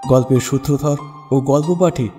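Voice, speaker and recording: A man's voice: medium pitch, moderate pace. A man hosting and narrating a scripted dramatic story for audio. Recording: long reverb with music bed. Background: music.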